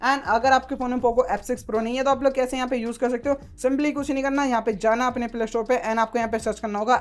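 A man's voice: extremely high pitch, fairly even intonation, fast. A man giving a casual phone tutorial as a tech YouTuber, mixing in English terms and leaning on repeated filler phrases.

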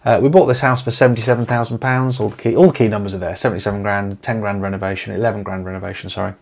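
A man talks to camera at his desk in a home office. His voice sits low and has a gravelly edge. He rambles easily, with lots of fillers and restarts. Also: steady tone, rapid-fire, a little hiss or hum. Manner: rambling, with many fillers and restarts, leafing through papers. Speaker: property investment company owner. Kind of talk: casual to-camera video talk.

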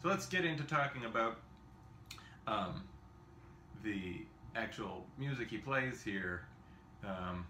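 A man's voice: on the low side, expressive, takes it slowly, pauses often, bright, forceful tone, a little hiss or hum. A man in his thirties giving a casual xylophone lesson in his home kitchen, rambling and self-deprecating, with lots of fillers and small laughs. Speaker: xylophone teacher. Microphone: camera in front at a short distance. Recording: echoey kitchen with xylophone playing.

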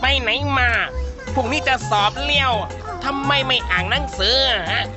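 A man's voice: very high voice, normal speed. A man voicing an exasperated, scolding father with a Chinese accent in his Thai, in a children's cartoon. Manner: fretful, scolding, bewildered. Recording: muffled audio with music behind.

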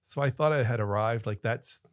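A middle-aged man from Northern Ireland with an American accent, a relaxed guest telling stories in a casual studio interview. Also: mid-range voice, brisk, no echo. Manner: relaxed storyteller, laughs often, gestures with hands. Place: small podcast studio. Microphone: studio mic on boom arm, headphones on.

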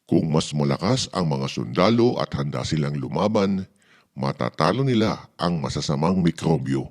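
A man's voice: a bass voice, lively intonation, normal speed, darkish tone, exaggerated voice. A man voicing a reassuring, confident father who explains things patiently and emphatically in a children's storybook.

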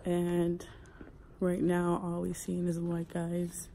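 Slow, gravelly-voiced young woman vlogging. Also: on the low side, monotone, warm tone, a little hiss or hum. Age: young adult. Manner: giggly, often dropping to a whisper. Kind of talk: casual vlog chat. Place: indoor museum galleries. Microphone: phone held at arm's length.

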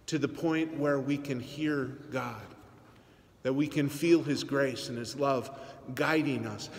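A man in his forties, a priest, giving an earnest, measured homily to his congregation in a large church. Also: on the high side. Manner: earnest, measured preaching with teasing asides. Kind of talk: sermon. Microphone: phone camera on the pulpit, aimed up. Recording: long church reverb.

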